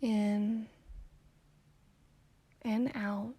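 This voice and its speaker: A woman guiding a meditation in a calm, soothing, gently instructive way, with soft phrases that trail toward a whisper. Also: mid-range voice, drawn-out words, husky, no echo. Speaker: meditation guide. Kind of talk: slow guided meditation narration.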